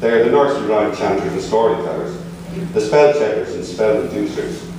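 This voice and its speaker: An Irish man reading his own memoir piece aloud at a book launch, measured with dry asides. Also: on the low side, animated. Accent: Irish, not from Limerick. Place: large echoey museum hall at a book launch. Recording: echoey hall, voice from far off, noisy.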